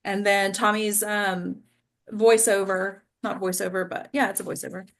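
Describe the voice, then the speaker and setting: Woman teacher chatting thru a lesson, conversational, keeps checking in with the group, normal mid pitch. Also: flat delivery, moderate pace. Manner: conversational, peppered with check-in questions like "right?". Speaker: acting class teacher and host. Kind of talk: casual teaching talk to a small group.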